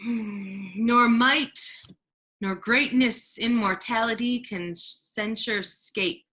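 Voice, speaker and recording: A woman's voice: on the high side, very slow, pushed and bright, exaggerated voice, low-quality recording. A woman acting a dignified, indignant friar in a staged Shakespeare reading, speaking in a formal, righteous manner. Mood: brooding. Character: Duke disguised as a male friar, dignified, indignant.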